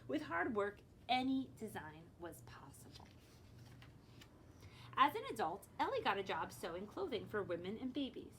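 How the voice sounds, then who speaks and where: A woman librarian reads a picture book aloud to children expressively, pausing to explain things and prompt her young listeners. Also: medium pitch, sing-song, fairly bright tone, slight background noise, poor audio.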